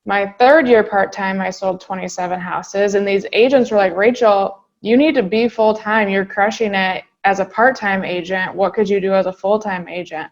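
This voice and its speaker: A woman being interviewed on a podcast, telling her story in a brisk, chatty way. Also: mid-range voice, steady tone, dull audio. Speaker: guest real estate agent.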